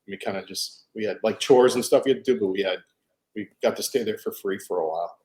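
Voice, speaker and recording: A man's voice: on the low side, a little mellow. A man hosting a casual video call chat, telling stories in an easygoing way. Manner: quick, easygoing storytelling. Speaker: host.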